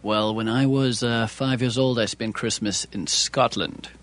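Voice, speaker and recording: A man in a deadpan comedy chat, answering absurd questions in a dry, matter-of-fact way. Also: mid-range voice, unhurried, noisy background. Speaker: comedy co-host.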